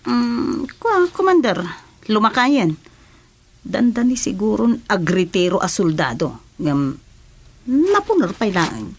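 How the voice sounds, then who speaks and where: A woman's voice: medium pitch, big pitch swings, takes it slowly, pauses often, husky, full character voice. A woman with an Ilocano accent playing an eager, mischievous female rebel sidekick in a comedic radio drama. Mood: sly surprise.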